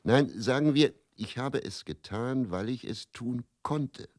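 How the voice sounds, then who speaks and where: An actor with pronunciation playing a weary, self-doubting male faith healer who confesses deliberately and broodingly in a radio play monologue. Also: medium pitch, lively intonation, a little mellow, no echo, studio quality, natural voice. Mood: wry, intense.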